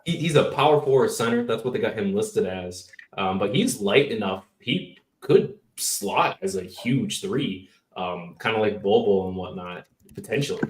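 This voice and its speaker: A man co-hosting a casual basketball podcast, excitable and leading the talk. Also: on the low side, moderate pace, hardly any pauses, roomy sound, recorded from a distance, a little hiss or hum. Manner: excitable, hype, leading the discussion. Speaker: podcast co-host. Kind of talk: casual sports podcast chat.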